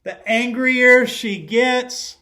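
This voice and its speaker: A middle-aged man, a teacher, reading a children's picture book aloud expressively, pausing to put questions to his listeners. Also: very high-pitched, sharp tone. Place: living room at home.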